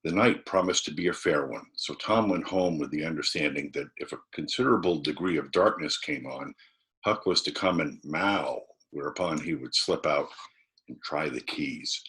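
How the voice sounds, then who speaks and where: A man's voice: very deep voice, normal speed, a bit bright. A man reading a classic novel aloud as a storybook narrator. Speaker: volunteer storybook reader.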